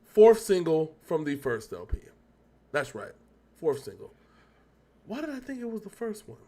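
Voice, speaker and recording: A man's voice: fairly high pitch, wildly swooping pitch, normal speed, dark tone. A man chatting casually as co-host of a reaction show, rambling and often correcting himself as he goes. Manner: rambling, talkative, self-correcting.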